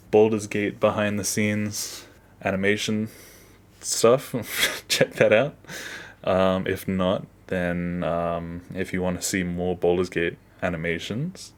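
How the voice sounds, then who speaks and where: A man's voice: sits low, steady tone, unhurried. A man giving a casual behind-the-scenes walkthrough as an animator, offhand and self-deprecating, often trailing off. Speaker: animator, video creator.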